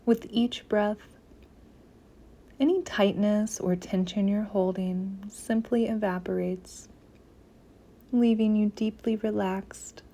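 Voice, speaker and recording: A woman leading a guided meditation in a soft, gentle, soothing voice, with phrases often trailing into near-whispers. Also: medium pitch, takes it slowly, long pauses.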